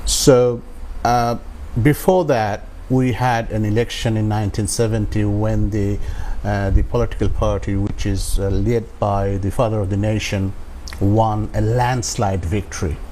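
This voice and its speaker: A man in his sixties with a Bangladeshi accent speaks in a fairly low voice. He recounts his life to an interviewer in halting phrases, with some noise behind him. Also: steady tone, dark tone, poor audio. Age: 60s. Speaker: interview guest. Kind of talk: personal storytelling in an interview.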